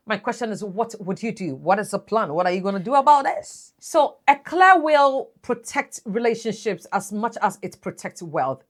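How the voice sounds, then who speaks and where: A woman hosting a personal finance channel, talking earnestly to her viewers and asking them what they would do. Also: fairly high pitch. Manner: earnest, preachy, asks the viewer questions. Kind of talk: solo talk to camera.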